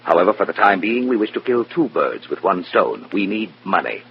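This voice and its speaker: An actor playing a stern, contemptuous Communist Party boss with clipped, formal, imperious speech in an old radio drama. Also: male voice, deep voice, sing-song, fairly quick, gravelly, exaggerated voice. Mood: cold, authoritative. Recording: dull, noisy, humming old radio recording.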